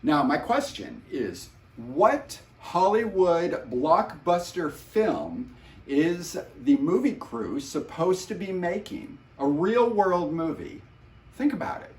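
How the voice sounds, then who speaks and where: A man's voice: a little high, very animated, slow. A man presenting a trivia question casually, with short conversational asides. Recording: roomy echo, distant from mic.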